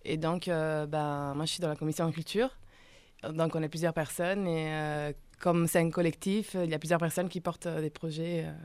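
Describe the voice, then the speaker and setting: A woman's voice: deep voice, fairly even intonation, moderate pace, a little mellow, clean tone. A woman speaking as a guest in a radio interview, hesitant with many fillers. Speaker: interview guest, collective member.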